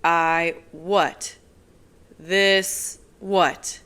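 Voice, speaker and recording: Woman reading young man, furious, accusing, loud, slow and heavy delivery, storybook dialogue. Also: on the low side, steady tone, clear, resonant tone. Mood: demanding.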